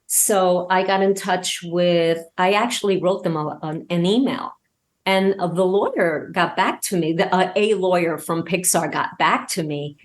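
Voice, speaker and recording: A woman's voice: on the low side, measured pace. A woman guest chatting casually in an interview about her own creative work, acting out bits of dialogue as she tells it. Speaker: guest, audio drama podcast creator.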